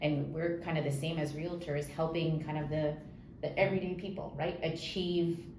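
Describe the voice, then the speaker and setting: A middle-aged Canadian woman hosts a casual interview with an affirming, conversational manner. Her voice sits fairly low for a woman. The room echoes around her, with faint noise behind. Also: steady tone, moderate pace, a little mellow. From Montreal, Canada. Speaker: host, realtor.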